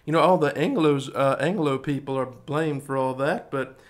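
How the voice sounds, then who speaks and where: A man's voice: medium pitch, normal speed. A man in his thirties playing piano on a live stream, chatting to viewers in a rambling way and laughing easily. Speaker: singer and pianist streaming live. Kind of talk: singing with piano, then casual chat to viewers. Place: indoors before a green-screen backdrop. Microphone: studio mic with pop filter. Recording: piano music under voice.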